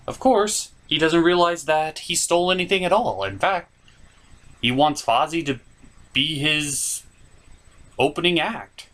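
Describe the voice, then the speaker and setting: A man's voice: fairly high pitch, fairly bright tone. A man hosting a comedic review, narrating a recap in a theatrical way with playful asides. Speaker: host of a puppet show review series.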